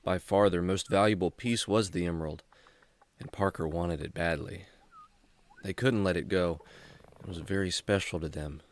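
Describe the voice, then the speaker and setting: A man's voice: low-pitched, fairly even intonation, moderate pace, lightly acted. A man playing a friendly, earnest young adventurer in a children's radio drama, speaking like a clean-cut storyteller. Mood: somber storytelling.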